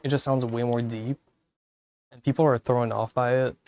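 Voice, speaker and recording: A man chatting casually in a relaxed, rambling way, swearing freely and chuckling now and then, speaking into a cheap wireless headset mic held far from his mouth. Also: medium pitch, moderate pace. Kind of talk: casual chat.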